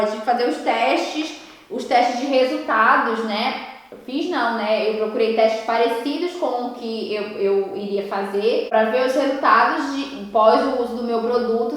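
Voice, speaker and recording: A young woman, telling her story at an online conference in an animated way, often checking in with the audience. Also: fairly high pitch. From Ceará, Brazil. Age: 20s. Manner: animated storytelling, frequent tag questions to the audience. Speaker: beauty therapist speaker at an online conference. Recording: very echoey room.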